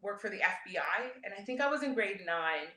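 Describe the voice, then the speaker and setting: Bright-voiced woman speaker, roomy sound. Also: mid-range voice, animated, a little fast, a little hiss or hum. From grew up in Edmonton, Canada; born in El Salvador. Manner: candid, chatty, often chuckling. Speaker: keynote speaker, startup founder. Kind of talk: informal keynote speech with personal storytelling.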